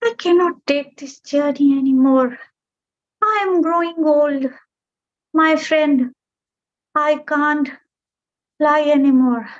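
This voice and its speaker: Woman putting on a little storybook bird, very high voice, sing-song, pleading, slow, lo-fi recording. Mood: weary. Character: small chatty traveling bird, pleading and sweet. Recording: dull lo-fi recording.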